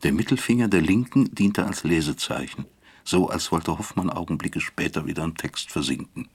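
A man acting a weary, self-accusing professor who recalls his past in a radio drama, speaking deliberately with a gravelly, husky voice. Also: deep voice. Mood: sober reflection. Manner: deliberate, reflective, measured. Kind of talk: radio drama first-person narration.

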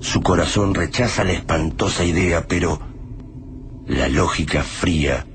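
Grave storyteller, very low voice, Argentine accent, dramatic radio narration, noisy backdrop. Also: male voice, a little slow. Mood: grave, ominous. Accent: Argentine Spanish. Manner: grave, literary. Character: grave storyteller of a doomed survival tale. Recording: noisy background ambience.

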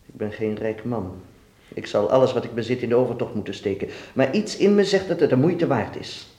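A man's voice: medium pitch, fairly quick, warm tone, close to an everyday voice. A man acting a worldly, melancholy dance teacher in a radio play, musing and fatherly with his pupil. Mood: wry resignation. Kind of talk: Dutch radio drama acting. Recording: echoey room sound.

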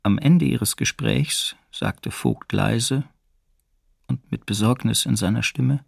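An actor playing a grave male prisoner, telling his account deliberately with pauses in a documentary radio play. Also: medium pitch, vocal fry, no echo, studio quality, exaggerated voice. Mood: tense, deliberate. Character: grave male prisoner recounting an escape plan. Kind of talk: slow dramatic narration of a testimony.

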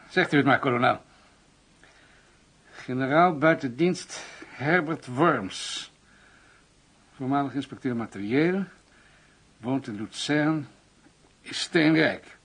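An actor playing a gruff, wary senior police officer in a Dutch radio play, with a gravelly, husky voice. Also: male voice, mid-range voice, fairly bright tone, heavily acted. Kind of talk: Dutch radio drama dialogue.

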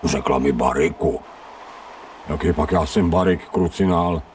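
An actor playing a scrawny, streetwise stray dog in a radio drama, grumbling in colloquial speech with sardonic self-pity. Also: male voice, a bass voice, darkish tone, far from the mic, a little hiss or hum. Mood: wry, gruff. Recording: 1990 radio drama, off-mic.

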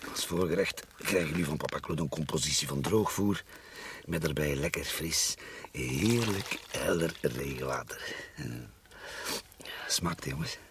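An actor playing a jovial, gruff middle-aged father with a Flemish accent and an animated, chummy way of talking in a radio drama. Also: a bass voice, normal speed, noisy background. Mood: playful, doting. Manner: animated, colloquial, talks chummily. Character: jovial, gruffly cursing family father, dismissive of worry.

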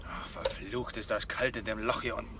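A man's voice: on the low side, steady tone, a little fast, close to an everyday voice. An actor playing a grumbling small-time male crook in a 1970s radio crime play. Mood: grumbling, hushed. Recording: noisy 1970s radio drama.

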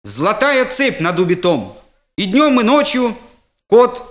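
A man's voice: high-pitched, very animated, unhurried, pitched above the performer's own voice. A man voicing a cheeky schoolboy in a comic story, drawing out his words with over-eager, feigned innocence. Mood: bluffing, hesitant. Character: stalling, cheeky fifth-grade schoolboy bluffing through a recited poem.

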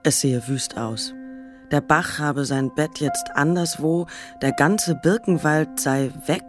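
A woman's voice: low-pitched, slow pace, music in the background. A woman narrating a literary radio play from a script.

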